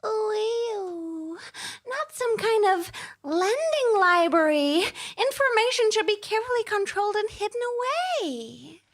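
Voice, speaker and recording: A woman's voice: extremely high pitch, very animated, measured pace, exaggerated voice. A woman playing a bossy, sneering female administrator in a comic audio drama. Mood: haughty indignation. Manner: sneering, haughty, exclamatory. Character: scornful, bossy female administrator, shrill and contemptuous.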